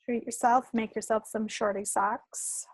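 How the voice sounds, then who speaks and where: American woman co-hosting a podcast, casual chat, gravelly fry, dry close sound. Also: on the high side, steady tone, a little slow, no room sound. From United States. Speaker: podcast co-host.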